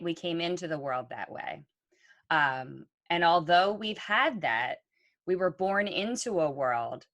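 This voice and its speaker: A woman's voice: sits low, fairly bright tone, dry recording. A woman co-hosting a podcast, talking earnestly and reflectively with frequent ums. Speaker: podcast co-host. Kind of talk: conversational podcast talk.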